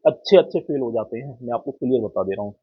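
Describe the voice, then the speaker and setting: A man's voice: medium pitch, lively intonation, quick pace, breathy edge. A man giving informal, blunt, exhortative advice to students and often checking for agreement, on a muffled, tinny recording. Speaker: exam coaching YouTuber. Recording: muffled, tinny phone-like lo-fi audio.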